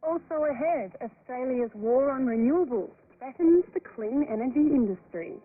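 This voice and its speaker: A woman's voice: high voice, expressive, moderate pace. A woman hosting a radio magazine, reading a scripted introduction over a shortwave broadcast. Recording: thin, narrow-band shortwave radio.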